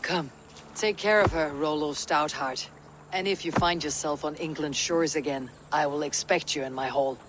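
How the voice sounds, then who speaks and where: An actress playing a steadfast, commanding Viking leader in a video game, speaking in a resolute, formal manner. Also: female voice, low-pitched, normal speed, background noise, close to an everyday voice. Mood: solemn warmth. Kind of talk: dramatic video game acting.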